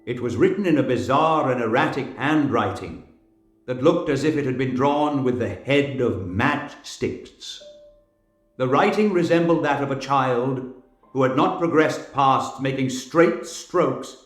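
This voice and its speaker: A man's voice: medium pitch, lively intonation, measured pace, roomy sound, lightly acted. A man playing an earnest new opera manager who recounts events in a formal, theatrical storytelling manner for an audio drama. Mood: intrigued, storytelling. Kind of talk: first-person narration in audio drama. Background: faint guitar music.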